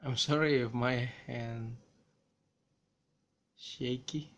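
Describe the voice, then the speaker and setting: A man from Indonesia with an Indonesian accent, talking through a software tutorial in a slow, hesitant way with many fillers, recorded on a smartphone. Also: mid-range voice, steady tone. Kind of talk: screen-recorded coding tutorial. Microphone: smartphone camera filming screen.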